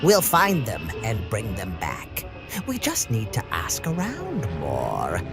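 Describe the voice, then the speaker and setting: Woman as cocky young hero, swooping low voice. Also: huge pitch swings, takes it slowly, heavily acted. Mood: cocky, upbeat. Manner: brash, casual, confident. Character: cocky, eager young male cartoon hero, animal speedster. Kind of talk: cartoon comic dub. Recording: voice over clear background music.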